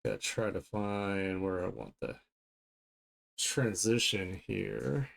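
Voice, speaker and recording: Man, lowish pitch, slow-paced, creaky, casual streamer chat, playful, self-deprecating, thinking out loud. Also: lively intonation. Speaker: streamer and illustrator. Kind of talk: casual livestream chat while drawing.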